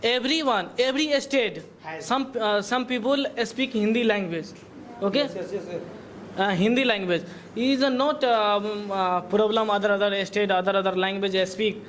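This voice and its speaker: A man's voice: sits high, moderate pace, a bit bright, a little hiss or hum, low-quality recording. A man in a classroom debate, speaking broken English and tagging his points with "okay?". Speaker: debate participant.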